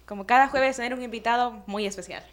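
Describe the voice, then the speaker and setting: A young woman from El Salvador co-hosting a student podcast, with a fairly high voice. She speaks in a cheerful, soft-spoken way, laughing lightly as she chats. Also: quick pace. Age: young adult. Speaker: podcast co-host and communication student. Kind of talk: casual podcast chat.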